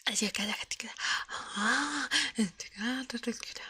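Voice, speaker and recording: ASMR woman, lightly pitched and breathy, mostly whispering in soft repetitive syllables. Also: unhurried, dry recording, studio quality. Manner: murmured, repetitive nonsense syllables and trigger sounds. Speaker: ASMR livestreamer.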